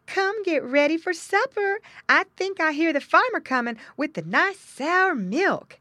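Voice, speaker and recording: A woman's voice: extremely high pitch, sing-song, extremely slow, noisy background, voice pushed up, full character voice. A woman voicing an anxious motherly sow in a children's storybook, calling out to her piglets. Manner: calling out, grunting and squealing.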